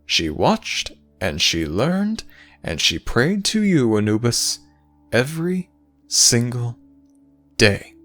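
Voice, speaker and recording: A man playing an urbane, theatrical storytelling god in an audio roleplay, speaking grandly and deliberately with dramatic pauses. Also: medium pitch, very animated, a bit bright, soft background music. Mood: knowing, reproachful.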